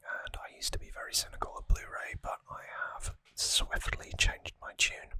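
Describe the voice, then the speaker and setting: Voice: normal speed, sharp tone, no room sound. Close, dry ASMR whisper. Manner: musing, conversational trivia talk. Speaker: ASMR video host. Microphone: close microphone, dry sound.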